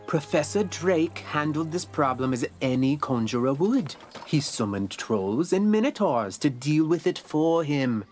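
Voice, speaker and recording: Haughty, animated student wizard girl. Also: on the low side. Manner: haughty, theatrical. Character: bossy, self-important young female wizard student. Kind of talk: scripted video game character acting.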